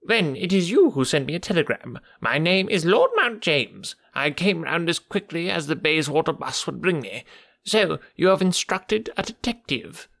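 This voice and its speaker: A man voicing a querulous, twitchy, stingy little old man with a sharp crackling voice in an audiobook. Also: very animated, moderate pace, vocal fry, no room sound, studio quality, heavily acted. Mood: indignant. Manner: shrill, scolding, demanding. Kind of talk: audiobook character voice.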